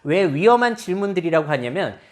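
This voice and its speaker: A woman's voice: lowish voice, very animated, fairly quick, darkish tone. A woman lecturing on social change, explaining step by step and asking her audience rhetorical questions. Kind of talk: lecture on future trends.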